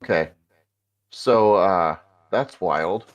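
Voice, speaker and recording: A man with a stuffy, congested voice, co-hosting a casual tech podcast over a remote call and pausing often with filler sounds. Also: deep voice, muffled recording. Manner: halting, many filler sounds. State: congested from a cold. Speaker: podcast co-host.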